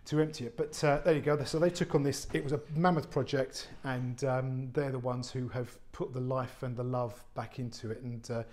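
A man, an estate agent giving an unscripted walk-through tour of a warehouse flat, chatty and full of fillers and restarts. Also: mid-range voice, moderate pace, hardly any pauses. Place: large warehouse flat, indoors. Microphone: handheld phone camera, speaker off screen.

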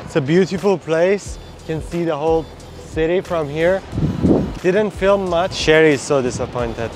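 A man in his twenties hosts a casual road-trip vlog. His voice sits a little high and he pauses as he goes. Music plays clearly over his talk. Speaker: vlog host. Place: mostly inside a car.

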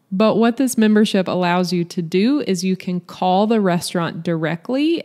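A woman's voice: on the low side, a little mellow. A woman giving a scripted, matter-of-fact voice-over review of a restaurant meal. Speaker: vlog host, restaurant reviewer.

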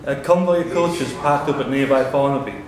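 A man hosts a quiz as question master, with a very echoey room around him. He reads questions and rules on answers at a middling pitch, with clear chatter from people nearby. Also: brisk. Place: indoors in a pub room. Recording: roomy pub recording, chatter around. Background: people talking in the pub.

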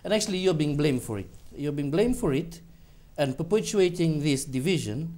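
A man's voice: fairly high pitch, lively intonation, normal speed, darkish tone. A man in his thirties hosting a studio TV interview, pressing his guest with challenging questions read from notes. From Fiji. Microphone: lapel.

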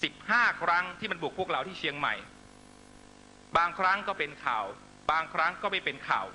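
Forceful high-pitched man at a noisy rally. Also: animated, faint music behind. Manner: emphatic, declamatory delivery in short measured phrases. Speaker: political rally speaker. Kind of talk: impassioned political rally speech. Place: large night-time outdoor rally stage. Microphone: stand microphone on stage. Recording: noisy live rally public-address broadcast with hum.

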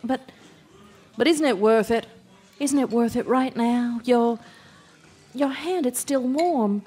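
An actress playing a lovestruck, pleading young woman in a live radio drama, earnest and breathy. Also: a little high, takes it slowly, warm tone.

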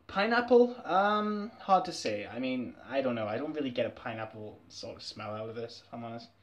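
A man's voice: mid-range voice, lively intonation, fairly quick, highs cut off. A young man, chatting candidly and laughing often as he reviews a fragrance in a small bedroom. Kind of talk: casual review vlog. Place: small dim bedroom, indoors.

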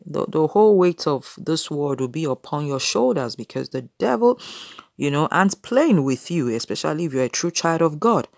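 A woman's voice: sits low, expressive, moderate pace, creaky, dry recording, clean studio sound. A woman in her thirties preaching casually to camera from a small room, earnest and exhorting, with many "you know" fillers.

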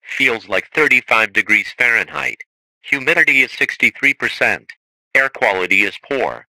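A man's voice: mid-range voice, expressive, moderate pace, gravelly, pushed and bright, poor audio. A synthetic male text-to-speech voice reading scripted emergency broadcast announcements as an alert announcer.

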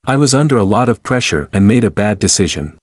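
A man with a somewhat low voice reads short answers for a language lesson, each line carefully paced. The sound is dry and close, with no room around it. His delivery stays clear and even. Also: dry recording. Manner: clear, even, deliberate reading. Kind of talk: slow repeated example sentences for learners.

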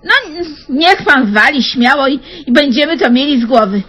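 A woman's voice: sits high, expressive, normal speed, a bit bright, faint music behind. An actress playing a suspicious, sarcastic woman in an old radio comedy. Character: suspicious quick-tongued woman Eliza. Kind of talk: 1970s radio comedy acting.

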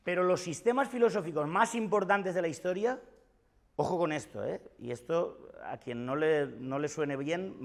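A man in his forties with a Valencian Spanish accent, giving an emphatic, gesturing philosophy lecture to students in a classroom, often repeating his points. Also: on the high side. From Valencia, Spain. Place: classroom with whiteboard. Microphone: far camera.

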